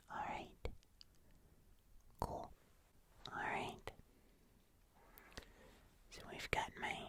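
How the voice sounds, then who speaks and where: An ASMR host speaking entirely in a whisper, casually and gently rambling through a hands-on show-and-tell. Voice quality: whispered, unvoiced.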